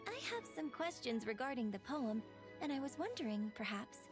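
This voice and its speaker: A woman's voice: high voice, very animated, normal speed, music in the background. A woman voicing a courteous, cultured young lady in a video game, with polite, eloquent and formal phrasing. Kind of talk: scripted video game dialogue.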